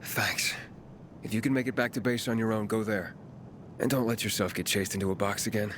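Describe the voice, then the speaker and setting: A man's voice: on the low side, steady tone, fairly quick, background noise, natural voice. An actor playing a level-headed young male messenger in a video game, plain-spoken and dry. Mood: calm, reassuring. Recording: music playing behind the voice.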